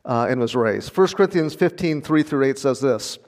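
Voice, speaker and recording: A man's voice: mid-range voice, lively intonation, normal speed, darkish tone, big-room sound. A man preaching a sermon in an earnest, conversational way, with small laughs as he talks. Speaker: pastor.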